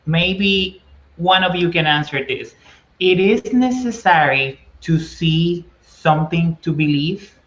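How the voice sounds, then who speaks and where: A man hosting a talk show, asking questions in an encouraging way with quick asides. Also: on the high side, a bit bright. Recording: echoey, dull lo-fi audio.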